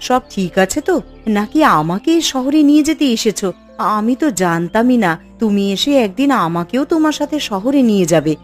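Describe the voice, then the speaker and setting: A woman voicing a caring, anxious village wife in a cartoon folk tale, speaking with expressive concern. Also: fairly high pitch, moderate pace, soft background music, natural voice. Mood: dismayed, anxious. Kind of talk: cartoon fairy-tale dialogue.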